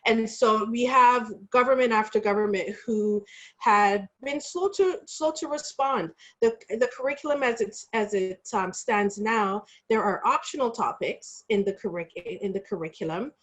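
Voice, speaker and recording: A woman's voice: a little high, moderate pace, a bit bright. A woman speaking as an educator on a discussion panel, explaining things with careful, thoughtful and fairly formal phrasing.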